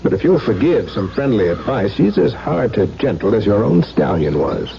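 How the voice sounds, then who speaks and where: A man's voice: fairly low pitch, very animated, normal speed, creaky. A man acting an urbane, skeptical baron in a radio drama, with a formal, teasing, arch manner. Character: urbane, wry baron, skeptical gentleman cousin. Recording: muffled 1970s radio broadcast with noise.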